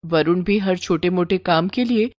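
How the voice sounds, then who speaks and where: A woman's voice: fairly low pitch, moderate pace, darkish tone, no room sound, studio quality. A woman narrating a scripted moral story as the storyteller.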